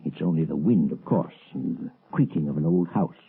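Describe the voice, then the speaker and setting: A shifty, nervous professor in a vintage radio mystery. His low voice is very rough and husky, swinging through evasive hesitations and brusque bluster, on a muffled old recording. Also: brisk, full character voice. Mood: uneasy. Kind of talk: 1930s-40s radio mystery acting.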